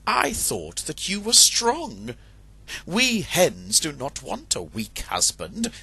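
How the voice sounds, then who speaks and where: A man voicing a gentle, loving female hen who speaks to her husband in a raised, soothing voice. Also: huge pitch swings, takes it slowly, creaky, breathy edge, no echo, heavily acted. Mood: chiding. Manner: soothing, high-pitched.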